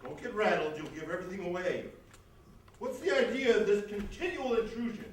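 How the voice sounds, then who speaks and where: A stuffy, furtive doctor, played by a man, indignant and flustered, with a very high, swooping voice. It is stage comedy in a very echoey hall. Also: lively intonation, brisk. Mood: indignant, nervous. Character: stuffy, nervous, furtive doctor, an adulterous husband. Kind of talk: theatrical stage comedy acting. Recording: very echoey hall, distant mic.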